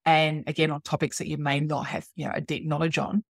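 Low-pitched middle-aged woman hosting. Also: flat delivery, brisk, creaky, husky, dry recording, clean studio sound. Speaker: podcast host, copywriter. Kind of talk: conversational interviewing.

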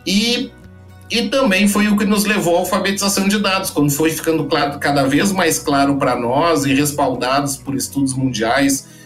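A higher-pitched man, talking as a podcast guest. He explains at length, stopping to restart phrases and filling gaps with soft hesitations, while faint music plays underneath. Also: fairly even intonation, moderate pace. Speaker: guest, data company founder. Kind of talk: podcast interview answers.

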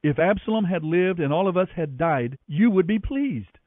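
A man voicing a stern army commander who forcefully scolds his king in a dramatized scripture reading. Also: high voice, animated, moderate pace, pitched above the performer's own voice. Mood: indignant, stern. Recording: radio broadcast with echo, narrow band, music behind.

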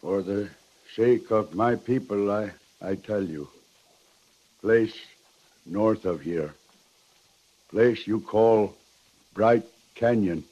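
An actor playing a solemn, wary Sioux leader in a 1950s radio western, speaking terse, halting broken English. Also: male voice, on the low side, warm tone. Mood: solemn, reluctant. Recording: muffled old radio recording.

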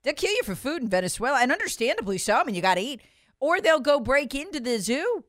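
A woman's voice: sits high, very animated, fast, a bit bright, no echo, studio quality. A woman hosting opinionated political commentary, speaking sarcastically and emphatically, repeating phrases for effect and acting out quotes in a conversational way.

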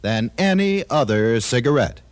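A man delivering emphatic, measured sales patter as an announcer on a mid-century radio show. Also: mid-range voice, animated. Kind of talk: 1940s-50s radio commercial announcing.

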